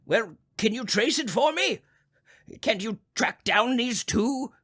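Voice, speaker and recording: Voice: mid-range voice, lively intonation, takes it slowly, fairly bright tone. An actor playing a crotchety, self-important old man in a 1940s-style suspense radio drama, fussy and indignant, stammering as he makes demands. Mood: anxious, urgent. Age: older.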